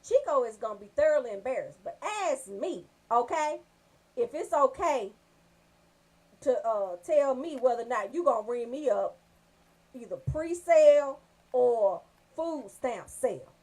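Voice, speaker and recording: A woman with a Southern US accent telling comedic stories as a radio host, brash, animated and freely swearing. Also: high-pitched, unhurried, rough recording. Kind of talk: comedic storytelling on a casual talk show.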